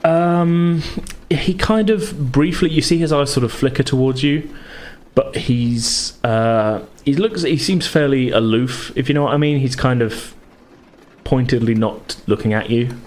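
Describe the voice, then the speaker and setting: A man narrating a tabletop role-playing game as game master, in a halting, conversational way. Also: mid-range voice, darkish tone. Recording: clear background noise, room ambience.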